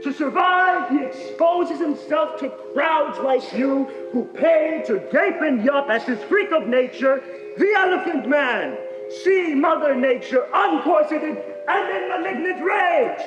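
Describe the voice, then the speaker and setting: A man acting as a brash freak-show barker with a very high voice. He hawks his attraction in grand theatrical declamation. It rings through an echoey hall with music behind. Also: animated, measured pace, lo-fi sound. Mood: brash showmanship. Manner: declamatory, hawking to a crowd. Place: high school theater stage.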